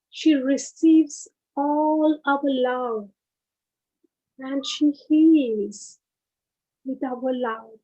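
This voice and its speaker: A woman leading a guided meditation, speaking in short, unhurried phrases with pauses between them. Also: high voice, mellow, lo-fi sound.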